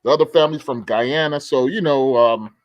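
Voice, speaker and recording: A middle-aged man from the Caribbean, a guest who tells stories in a relaxed, talkative way on a casual remote podcast chat. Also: mid-range voice, a little fast, no echo, lo-fi sound. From the Caribbean; born in the Bahamas, grew up in Barbados.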